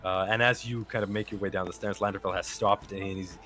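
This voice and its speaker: A man with a deep, low voice narrates a tabletop game as game master. He talks in a relaxed way, with plenty of fillers. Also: fairly quick.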